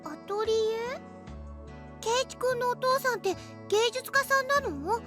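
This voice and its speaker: A woman playing a sweet, caring, inquisitive teenage girl in a visual novel, with a cutesy, gentle manner. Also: very high voice, animated, a little fast, music in the background. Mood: sweet, curious. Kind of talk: Japanese visual novel voice acting.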